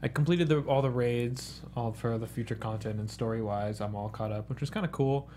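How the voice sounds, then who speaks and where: A man's voice: mid-range voice, brisk, darkish tone, slight background noise. A man co-hosting a casual panel chat about gaming, explaining things in detail with plenty of jargon. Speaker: co-host on a gaming talk show.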